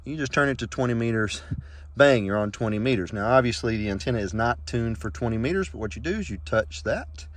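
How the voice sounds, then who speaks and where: A man giving an informal hobbyist walkaround in a halting manner, often clearing his throat, recorded on a handheld phone. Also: medium pitch, lively intonation. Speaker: ham radio hobbyist presenting. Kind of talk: informal video walkaround narration. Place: around and inside a parked truck, outdoors. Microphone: handheld iPhone.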